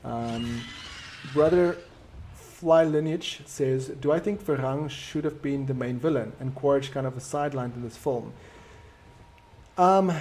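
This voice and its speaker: A man with a South African accent, whose first language is Afrikaans, hosting a live stream and answering viewer comments in a relaxed, reflective way. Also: medium pitch. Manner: reflective, easygoing and conversational.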